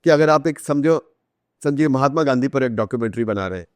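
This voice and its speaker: A man's voice: mid-range voice, expressive, quick pace, no room sound. A man with an Indian accent explaining things as a podcast guest, articulate, repeating phrases for emphasis and mixing in English terms. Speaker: author guest. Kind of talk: podcast interview, explanatory talk.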